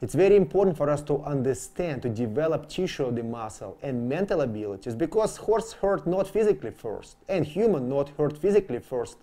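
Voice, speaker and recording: A man's voice: on the high side, big pitch swings, fairly quick, hardly any pauses, mellow. A man who speaks English as a second language, giving an instructional explanation as a horsemanship trainer, repeating key terms for emphasis. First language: not English. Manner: emphatic repetition of key terms, non-native grammar.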